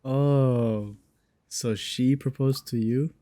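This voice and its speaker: A man's voice: mid-range voice, fairly even intonation, drawn-out words, mellow, no echo. A man giving casual, reactive commentary on a game in a slangy, informal way. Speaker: gamer commenting on playthrough.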